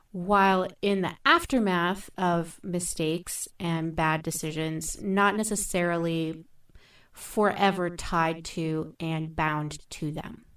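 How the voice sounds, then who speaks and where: A woman in a thoughtful podcast discussion, speaking in a deliberate, measured way with emphatic phrasing. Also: fairly low pitch, distant mic.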